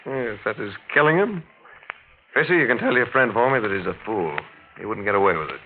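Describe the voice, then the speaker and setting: Young male attorney, 1940s radio mystery: fairly low, brisk, dismissive, impatient. Dull vintage recording with faint noise. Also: lively intonation. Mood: cocky, amused.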